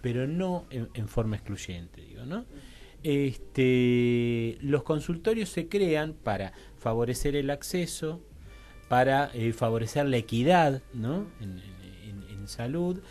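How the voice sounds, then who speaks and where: A man with an Argentine Rioplatense accent, speaking as an interview guest in a halting, digressive way and often checking for agreement. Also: mid-range voice, expressive, a little mellow, faint music behind. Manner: halting, digressive, seeks agreement with frequent tag questions. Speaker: interview guest, social researcher. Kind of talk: informal radio interview explanation. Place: radio studio.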